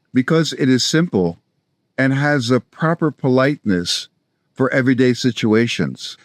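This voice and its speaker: This is an American man in his sixties hosting an instructional video. His voice sits at an average pitch for a man. He explains things step by step in a measured, scripted manner, and the sound is dry, with hardly any room in it. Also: dry recording. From South Florida, United States. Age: 60s. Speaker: language-learning video host, polyglot. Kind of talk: scripted instructional narration to camera.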